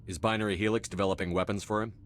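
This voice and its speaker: An actor playing a firm, no-nonsense military commander in a video game, direct, terse and authoritative. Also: male voice, lowish voice, steady tone, racing, a little hiss or hum, natural voice. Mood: stern, questioning. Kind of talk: video game cutscene acting.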